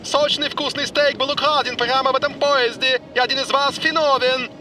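Very high-pitched pompous amateur detective. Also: male voice, fairly even intonation, moderate pace, far from the mic, background noise. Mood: pompous accusing. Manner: theatrical, pompous, accusing. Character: comical excitable male footballer playing self-important amateur detective. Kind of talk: cartoon dub acting.

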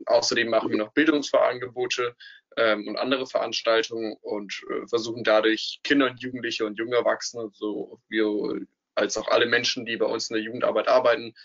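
A man's voice: on the low side, vocal fry, a bit bright. A young man, chair of a youth sports board, explaining its work on a video call with many fillers. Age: young adult. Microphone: video call from home. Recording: dull lo-fi video call audio.

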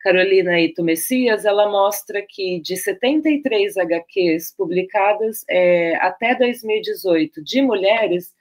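Brief: Brazilian woman presenting research from home over a webcam, unhurried, hesitant and searching for words. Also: mid-range voice. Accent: Brazilian Portuguese. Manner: loose, searching delivery with many fillers and restarts. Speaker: guest speaker. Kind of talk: academic talk over slides. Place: home room, on a video call.

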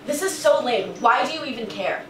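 A woman's voice: fairly high pitch, expressive, fairly quick, natural voice. Woman as a defiant schoolgirl, off-mic. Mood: irritated. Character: dismissive, defiant schoolgirl caught cheating. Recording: roomy, distant mic, faint noise.

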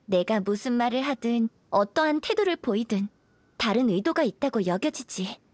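A guarded young woman from a game's desert village. Her voice is high with a husky edge, melancholy and subdued. It is recorded close and dry over faint music. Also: lively intonation, moderate pace, a little mellow, no room sound. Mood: melancholy, confiding. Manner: earnest, subdued, confiding. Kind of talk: video game character dialogue.

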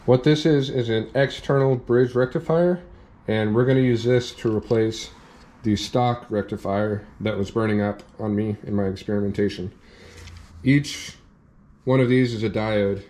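A young man in a workshop, calmly walking through a hands-on repair step by step, with plain practical talk. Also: on the low side. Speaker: off-road channel host demonstrating a repair. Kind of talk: hands-on how-to explanation. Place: indoor workshop at a workbench.

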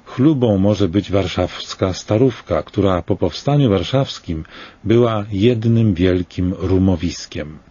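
A man in his sixties reading a scripted commentary in a slow, grave and deliberate way, with rhetorical questions. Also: deep voice, dark tone, husky, dry recording, dull audio.

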